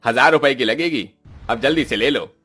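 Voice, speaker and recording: Sly male junk-shop swindler acted by a man, husky, mid-pitched, wheedling cartoon sales patter over music. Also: moderate pace, sharp tone. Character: sly junk dealer, fast-talking swindler.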